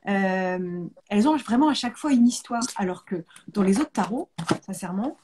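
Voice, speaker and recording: A woman's voice: mid-range voice, animated, normal speed, darkish tone, husky. A woman hosting a casual live chat, talking informally and repeating words for emphasis. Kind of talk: casual conversational interview.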